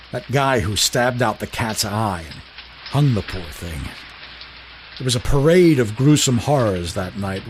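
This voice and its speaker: A man's voice: mid-range voice, lightly acted, low-quality recording. A man acting a down-on-his-luck night watchman in a 1930s radio drama, telling his story in a wry, colloquial, hesitant way. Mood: wry, absorbed. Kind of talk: first-person radio drama narration. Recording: voice over steady rain noise. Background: rain.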